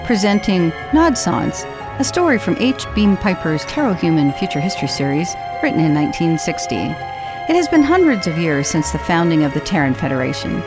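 A woman's voice: fairly low pitch, big pitch swings, a little fast, poor audio. A woman announcer reading a scripted introduction to a radio drama. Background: music.

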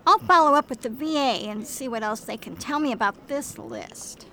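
A woman playing a calm, earnest female android partner in an audio drama, curious about humans, speaking in a measured way. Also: high voice, slight background noise. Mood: gentle, curious.

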